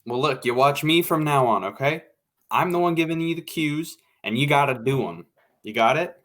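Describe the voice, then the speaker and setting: A man's voice: a little high, animated, moderate pace, fairly bright tone. A man playing a bossy, impatient radio show producer in a 1940s-style radio play. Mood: exasperated. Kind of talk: old-time 1940s radio play acting.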